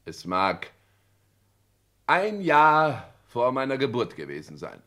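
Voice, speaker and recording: A vain, grandiose husband in a 1960s radio play. His mid-pitched voice swoops expressively as he declaims and lectures, dropping affected French phrases with great self-importance. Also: huge pitch swings, slow pace, fairly bright tone, full character voice. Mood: boastful, grandiose.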